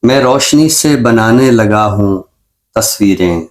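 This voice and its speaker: A middle-aged Pakistani man with a fairly low voice speaks as a professor on a literary program. His delivery is measured and scholarly, and he repeats phrases for emphasis. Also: lively intonation. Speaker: professor and poet, literary show presenter. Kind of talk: reflective literary talk and poetry recitation.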